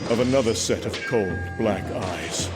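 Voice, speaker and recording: An actor playing a proud, brooding warrior god, telling his tale gravely and theatrically in a comic book drama. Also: on the low side, close to an everyday voice. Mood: solemn, reflective. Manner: grave, theatrical, measured storytelling. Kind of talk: dramatic first-person comic book narration. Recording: music under the voice. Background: music.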